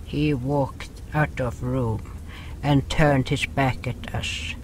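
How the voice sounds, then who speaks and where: A man's voice: medium pitch, fairly even intonation, slow pace, crowd noise, lightly acted. A man playing an earnest creature telling its story in an audio drama, solemn, with broken phrasing that drops articles. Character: earnest storytelling creature, small beside its raging ally.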